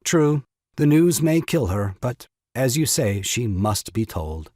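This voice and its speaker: Husky, self-pitying young husband. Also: male voice, mid-range voice, very animated, takes it slowly, a little mellow, no room sound, studio quality. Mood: mock-tragic. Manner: sarcastic, mock-tragic. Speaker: voice actor. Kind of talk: stage farce audio drama acting.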